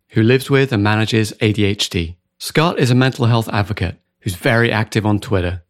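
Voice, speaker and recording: A British man hosts a podcast in a fairly low voice, calm and deliberate. He moves from a read introduction into a relaxed interview. The recording is dry and close, with no room sound. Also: no room sound. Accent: British English. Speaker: podcast host.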